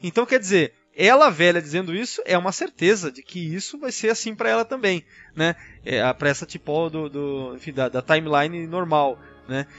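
A man's voice: fairly high pitch, lively intonation, moderate pace, hardly any pauses, a bit bright, lo-fi sound, faint music behind. A man with a Brazilian accent in a casual fan discussion, explaining things in an enthusiastic, rambling way as a podcast panelist.

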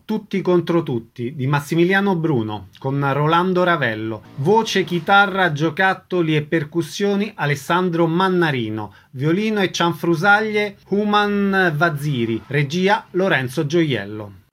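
A male radio host reads opening notices and credits in a clear, measured way. His voice sits a little on the high side. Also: lively intonation, fairly bright tone. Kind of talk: announcement reading.

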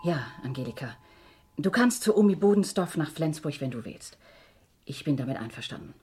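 A woman's voice: deep voice, lively intonation, normal speed, very noisy. An actress playing an anxious, insistent mother in a German radio crime drama, speaking with earnest emotion. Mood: remorseful. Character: anxious, insistent mother of a twelve-year-old girl.

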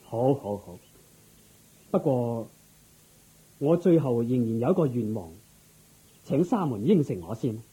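A man playing a dignified, courteous and curious king in a radio drama, with stately, expressive theatrical delivery. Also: medium pitch, a little slow, pauses often, husky, poor audio. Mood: cordial. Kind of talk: Cantonese Buddhist radio drama acting.

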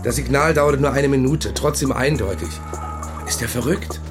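A male actor plays a police investigator who is sure of his case. He talks in a factual, natural middle register in a radio play, over clear music. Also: mid-range voice, moderate pace, close to an everyday voice. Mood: factual, certain.

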